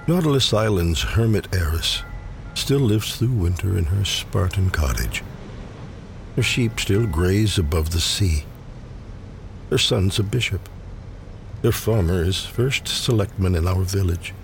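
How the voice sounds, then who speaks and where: A man reading poetry aloud in a dramatic recitation, with measured, deliberate phrasing and pauses. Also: sits low, animated, creaky, slight background noise. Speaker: poetry reader. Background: faint ambient sound design.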